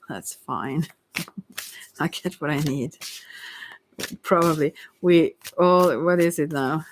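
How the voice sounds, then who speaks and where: A tarot reader who speaks English as a second language, rambling through an unscripted ASMR reading in halting phrases that often trail off. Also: medium pitch, a little hiss or hum. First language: not English.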